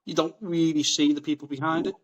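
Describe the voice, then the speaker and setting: Man, podcast guest, upbeat and rambling, voice a touch high. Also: level tone, fast, lo-fi sound. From Liverpool, England. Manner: enthusiastic, repeats words and restarts often. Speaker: guest, rum brand founder. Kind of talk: casual podcast conversation.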